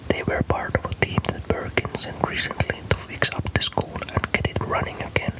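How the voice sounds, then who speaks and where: Man in a soft whisper. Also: a little fast. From Finland. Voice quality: soft, breathy unvoiced whisper. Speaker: ASMR storyteller. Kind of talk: whispered ASMR scripted narration.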